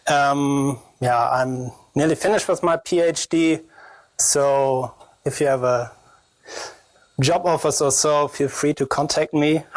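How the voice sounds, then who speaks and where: German-accented young man, halting presenter. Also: on the high side, no room sound. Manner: halting, hesitant non-native phrasing. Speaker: conference speaker, PhD researcher. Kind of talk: technical conference presentation.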